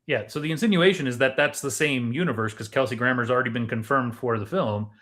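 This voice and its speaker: Male co-host, medium pitch, conversational and explanatory, discussing films informally. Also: quick pace, fairly bright tone. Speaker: co-host of a movie discussion show. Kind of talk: casual film theory discussion.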